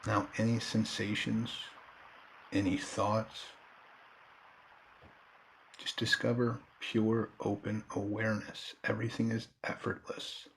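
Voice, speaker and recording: Slow, low-voiced man guiding meditation. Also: creaky, slight background noise, low-quality recording. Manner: calm, unhurried, with long pauses between short phrases. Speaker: meditation guide. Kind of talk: guided meditation. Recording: background nature ambience and birdsong. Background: nature and bird sounds.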